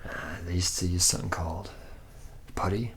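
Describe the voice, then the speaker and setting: A man's voice: sits low, fairly even intonation, fairly quick, gravelly, fairly bright tone, slight background noise. A man playing a laid-back, boozy womanizing factory worker in an ASMR roleplay, talking in a casual, slangy ramble. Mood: rambling, laid-back.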